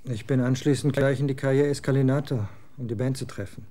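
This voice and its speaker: A man's voice: mid-range voice, natural voice. An actor playing a scornful young man of twenty in a radio drama, talking in blunt slang. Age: 20s. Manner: slangy, scornful, quick to get excited. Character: young man, 20, moody rocker son, scornful. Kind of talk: German radio drama acting.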